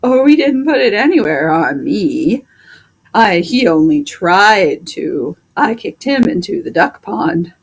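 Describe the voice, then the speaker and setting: A woman voicing a plain-spoken, indignant male plow horse with drawn-out, emphatic complaints in a storybook. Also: mid-range voice, very animated, slightly rough, exaggerated voice, low-quality recording.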